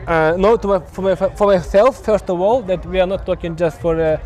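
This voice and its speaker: Male YouTube host, high and dark-toned, hesitant interviewer outdoors. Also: fairly even intonation, brisk. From Ukraine. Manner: hesitant, many fillers and restarts. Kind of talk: casual on-site interview. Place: outdoor event stand.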